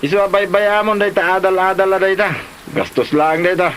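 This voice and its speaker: Pushy, high-pitched gambling buddy played by a man. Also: fairly even intonation, normal speed, background noise, natural voice. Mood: persuasive. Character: pushy male gambling buddy playing matchmaker. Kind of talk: Ilocano comedy drama dialogue.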